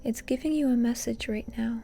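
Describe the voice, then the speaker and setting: A woman leading a guided meditation in a soft, breathy, often near-whispered voice, calm and gently instructing. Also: a little high, brisk, soft background music. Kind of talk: soothing guided meditation narration.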